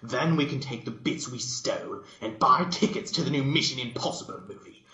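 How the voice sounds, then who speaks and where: A man's voice: mid-range voice, fairly quick, sharp tone, roomy sound, muffled recording, pitched above the performer's own voice, close to an everyday voice. A man voicing a bossy, impatient female cartoon unicorn pony with a scolding, emphatic delivery in a fan story reading. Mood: bossy, irritated.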